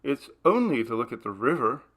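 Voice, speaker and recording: A man's voice: medium pitch, very animated, brisk. A man reading aloud, voicing a curious, dreamy young woman in a ghost story. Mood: light. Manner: wondering, gentle.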